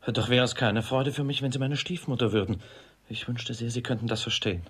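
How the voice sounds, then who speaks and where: A man plays an ardent young gentleman in a radio comedy. He speaks in courtly, impassioned phrases with a mid-pitched, breathy voice. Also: steady tone, a little fast, lightly acted. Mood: earnest. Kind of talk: classic comedy radio play acting.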